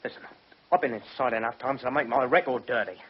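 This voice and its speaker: An actor playing a surly, defiant career criminal on trial in a radio drama, speaking bluntly with a husky voice and a working-class English accent. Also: male voice, medium pitch, fast talker, close to an everyday voice. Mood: agitated, demanding. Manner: blunt, colloquial. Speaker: defendant in a courtroom drama. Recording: muffled, dull radio drama recording.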